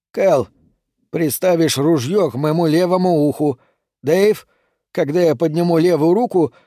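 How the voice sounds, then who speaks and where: A man's voice: on the high side, dark tone, no room sound, studio quality, voice pushed up. Man playing a stern dying old man. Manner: barks curt orders, then prays through pain.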